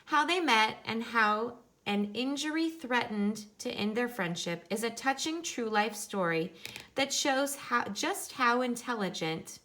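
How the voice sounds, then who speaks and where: A woman's voice: fairly high pitch, expressive, a little slow, bright, forceful tone, a little hiss or hum. A woman teacher reading a nonfiction book aloud for children, instructive and explaining things in simple terms.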